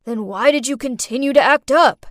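A woman's voice: high voice, moderate pace, dry recording, studio quality, natural voice. A woman playing an exasperated young heroine in a story, indignant and melodramatic.

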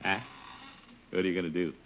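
An American actor playing a bashful, easily flustered middle-aged suitor in a 1950s radio comedy, speaking hesitantly. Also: male voice, sits low, level tone, fairly quick. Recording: muffled, very noisy old radio recording.

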